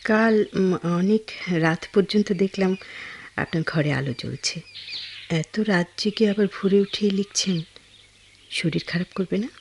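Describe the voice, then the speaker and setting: An actress with a Bangladeshi accent playing a caring, resolute young woman in a radio drama, gentle and earnest in delivery. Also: medium pitch, unhurried, pauses often, a little hiss or hum, lightly acted. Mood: concerned. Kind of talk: Bengali radio drama acting.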